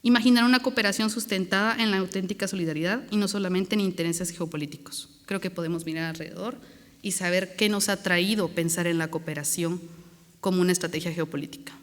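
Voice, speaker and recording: A woman's voice: fairly low pitch, fairly even intonation, quick pace, in a large hall. A Guatemalan woman with a bad cold, an NGO project director giving an earnest, grateful talk on a conference panel. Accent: Guatemalan Spanish.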